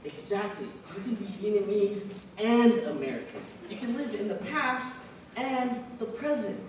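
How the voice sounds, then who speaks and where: A young man playing a wise college professor on stage, speaking in a measured, reassuring lecturing way, recorded from far off in an echoey hall. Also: high-pitched, lightly acted. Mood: encouraging. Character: wise, calm male college professor giving counsel. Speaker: actor in a student stage play. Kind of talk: live stage acting. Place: university auditorium stage. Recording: echoey stage sound from far off, audience noise.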